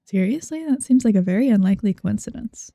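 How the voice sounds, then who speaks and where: A woman's voice: a little high, expressive, fast, creaky, no room sound, studio quality. A young woman giving casual, rambling, playful game commentary as she thinks aloud. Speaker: game streamer. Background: soft game music.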